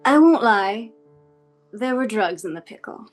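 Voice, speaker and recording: Woman acting out menacing female captor, composed, probing, formal diction, medium pitch, faint music behind. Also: animated, measured pace, lightly acted. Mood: eerily calm. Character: eerily composed, menacing woman interrogating her captive. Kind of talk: dramatic script table read.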